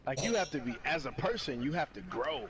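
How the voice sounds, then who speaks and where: A man's voice: on the high side, sing-song, fairly quick, noisy background. A man in a motorcycle club talking brashly about what it takes to join, over online voice chat. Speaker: club member. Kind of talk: casual gaming chat.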